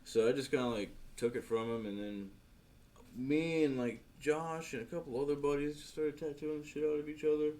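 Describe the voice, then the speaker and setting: A man's voice: on the high side, steady tone, brisk, a little mellow. A man who is a tattoo artist, a guest on a casual podcast, rambling in a laid-back way with plenty of swearing. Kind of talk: casual chat. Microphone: podcast microphone.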